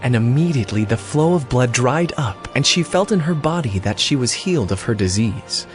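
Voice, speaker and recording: A man's voice: mid-range voice, lively intonation, normal speed, faint music behind. A man reading scripted narration for a dramatized audio Bible.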